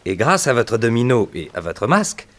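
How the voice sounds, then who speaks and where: An actor playing an earnest, composed detective's assistant, explaining in a measured way in a 1960s French radio serial. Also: male voice, mid-range voice, big pitch swings, fairly bright tone. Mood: composed, explanatory.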